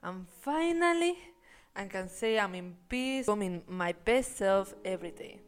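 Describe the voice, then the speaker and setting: A woman's voice: mid-range voice, lively intonation, normal speed, smooth, soft background music. Earnest young woman reading her story. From Spain. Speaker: podcast co-host. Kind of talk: reading a self-introduction.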